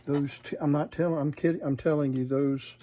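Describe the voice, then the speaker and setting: A man giving a casual hands-on repair demonstration, unhurried and murmuring to himself as he works. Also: on the high side, fairly even intonation, dark tone. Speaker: vintage radio repair hobbyist, presenter. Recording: clear background noise, room ambience.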